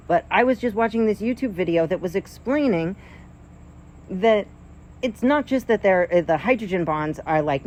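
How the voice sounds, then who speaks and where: 30s guy rambling about a science thing, lots of likes and restarts, excited, bit of faint background noise. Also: fairly high pitch. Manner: rambling, hesitant, many fillers and restarts; wondering and enthusiastic. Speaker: vlogger. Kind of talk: casual explanatory monologue.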